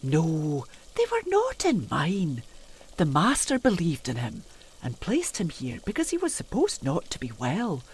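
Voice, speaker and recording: A woman playing a fearful, deferential housekeeper in a gothic period audio drama, speaking haltingly in old-fashioned servant's phrases. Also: sits low, huge pitch swings, husky, heavily acted. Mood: uneasy, confiding. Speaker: actor in an audio play.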